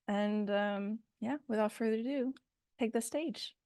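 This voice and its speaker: A woman's voice: on the high side, unhurried, a little mellow, dry recording, studio quality. A woman informally hosting an online meeting and handing over to speakers. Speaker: host of online event.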